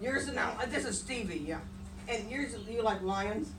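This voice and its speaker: A woman's voice: a little high, moderate pace. A woman with a folksy, chatty, encouraging manner, telling folktales to children and prompting them along. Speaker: storyteller and host. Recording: noisy room, distant mic.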